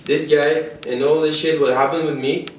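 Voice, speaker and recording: A young man from Kazakhstan, speaking excitedly in rough English with some casual swearing. His voice is a bit high for a man. It comes from far off the mic in a very echoey room. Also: normal speed, a little hiss or hum.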